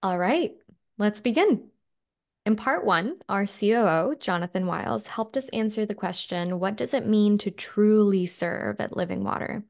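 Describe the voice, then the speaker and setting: A woman's voice: mid-range voice, animated, normal speed, gravelly, darkish tone. A woman hosting a podcast, reading a polished, upbeat script. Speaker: podcast host.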